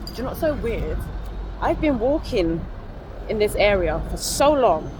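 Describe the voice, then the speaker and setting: A woman's voice: mid-range voice, big pitch swings, a little slow. A woman chatting candidly to her phone while walking outdoors, rambling and correcting herself with small laughs. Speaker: vlogger. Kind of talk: casual vlog chat to a phone while walking. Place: outdoors on a city street. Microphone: phone held up to her face. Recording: outdoor phone recording with street noise. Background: traffic and street ambience.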